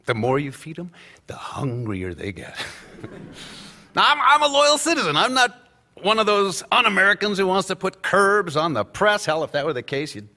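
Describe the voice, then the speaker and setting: A man's voice: high voice, very animated, normal speed, pushed and bright, big-room sound, full character voice. An actor playing a brash, boozy male government insider at a victory party, sarcastic and laughing between his lines. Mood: mocking. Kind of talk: radio play acting.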